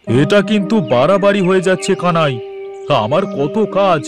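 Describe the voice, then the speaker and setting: A man acts out a village landlord's errand man for a cartoon, in a notably high voice. He wheedles and haggles his way along, with music playing behind him. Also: lively intonation, normal speed. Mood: haggling, protesting. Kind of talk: Bengali moral cartoon voice acting.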